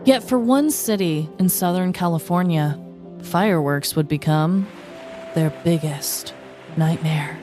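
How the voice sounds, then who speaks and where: A woman's voice: fairly low pitch, a little slow, music in the background. An American woman co-hosting a podcast, moving between casual banter and scripted comedic storytelling. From United States. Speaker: podcast co-host.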